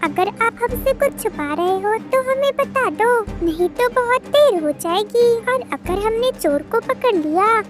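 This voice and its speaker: A woman's voice: very high voice, animated, measured pace, hardly any pauses, voice pushed up. A woman voicing an earnest, determined young bird detective in a cartoon story. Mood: pressing. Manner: earnest, questioning. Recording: music behind the voice.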